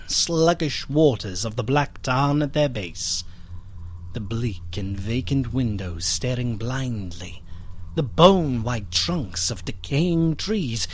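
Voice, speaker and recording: An actor playing a melancholy, fearful gentleman who narrates a gothic radio drama in a solemn, literary, theatrical manner. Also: mid-range voice, a little hiss or hum.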